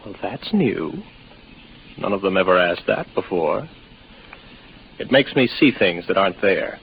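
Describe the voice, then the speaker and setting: A weary, isolated spaceman speaks in a low, crackly voice. He muses with detached, dry wit and some hesitation. It sounds like vintage fifties radio drama, slightly dulled by the old recording. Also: expressive, measured pace, creaky, heavily acted. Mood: dryly amused. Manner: detached, wry, hesitant musing. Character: weary lonely spaceman stationed on Pluto, doubting his senses. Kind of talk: 1950s radio drama acting. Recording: noisy muffled 1950s radio recording.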